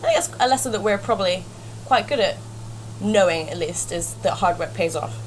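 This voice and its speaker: A woman's voice: on the low side, lively intonation, a little fast, a little hiss or hum, rough recording. A woman hosting a casual chat, encouraging and asking questions.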